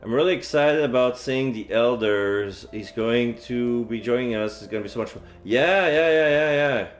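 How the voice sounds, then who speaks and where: A man's voice: mid-range voice, normal speed. A man streaming a visual novel, reading its story aloud with dramatic delivery and offhand casual asides. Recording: game music faintly behind. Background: video game music.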